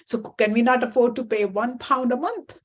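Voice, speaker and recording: A woman hosting a talk show, her voice a little high. She puts her questions in a halting, conversational way, often repeating words before she gets to the point. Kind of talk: conversational interview.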